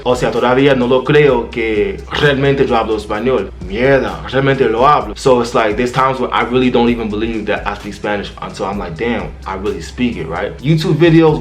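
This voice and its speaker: A young man whose first language is Haitian Creole, giving tips as a YouTuber in a casual, slangy, laid-back vlog style. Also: mid-range voice. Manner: slangy, laid-back street talk with "you feel me". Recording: roomy echo.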